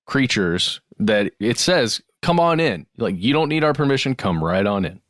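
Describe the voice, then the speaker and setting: A man's voice: mid-range voice, big pitch swings, moderate pace, a bit bright, no room sound, studio quality. A man co-hosting a podcast in casual banter, playful and tongue-in-cheek. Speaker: podcast co-host. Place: remote recording, dry close sound.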